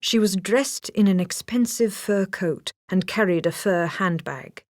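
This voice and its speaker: A woman's voice: medium pitch, measured pace, no room sound, clean studio sound. A woman reading a scripted children's story as a storybook narrator.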